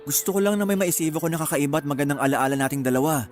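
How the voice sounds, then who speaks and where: A man's voice: on the high side, racing, faint music behind, natural voice. A man with a Filipino accent playing a manipulative, hot-tempered young boyfriend in a radio drama. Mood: coaxing.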